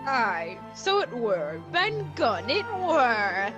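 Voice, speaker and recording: Woman as an excitable high-pitched pirate crewman. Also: extremely slow, lo-fi sound, over music, full character voice. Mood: relieved. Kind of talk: radio play acting.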